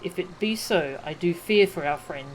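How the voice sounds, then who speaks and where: A woman's voice: on the low side, normal speed, faint music behind, natural voice, rough recording. A woman reading out a solemn, scholarly man's game lines in formal, old-fashioned speech. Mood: grave. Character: solemn scholarly man speaking archaic English. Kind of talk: reading game dialogue aloud.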